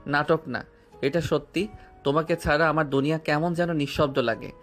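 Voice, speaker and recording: A man playing a tender, persistent young student in love, speaking softly and earnestly in an audio drama. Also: fairly high pitch, moderate pace, soft background music, close to an everyday voice. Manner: soft, earnest, romantic delivery.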